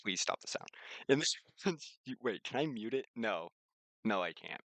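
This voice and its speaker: A man's voice: medium pitch, lively intonation, moderate pace, a bit bright. A man streaming his own visual novel, switching between read-aloud narration and informal asides.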